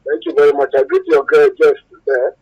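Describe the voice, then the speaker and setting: A man's voice: fairly high pitch, huge pitch swings, a little fast, slightly rough, highs cut off. A man with a Nigerian accent calling in to a radio show, giving opinions emphatically, repeating himself and searching for words. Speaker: phone-in caller. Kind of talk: opinionated radio call-in talk.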